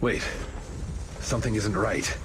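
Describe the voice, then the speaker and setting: A man's voice: fairly low pitch, very noisy, lightly acted. An actor voicing a composed, courteous butler-like fighter who leads his team in a video game, speaking in formal, polite phrases. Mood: wary. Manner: formal, polite, measured wording. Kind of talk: English game voice acting. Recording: loud music over the dialogue.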